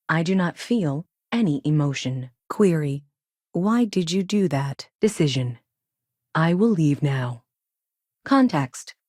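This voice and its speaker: A woman playing an emotionless, logical female AI space probe in a video game, speaking in a clipped, formal, deadpan way. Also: low voice, no room sound, clean studio sound, exaggerated voice. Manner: clipped, formal, deadpan, announces each statement type first. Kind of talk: scripted game dialogue.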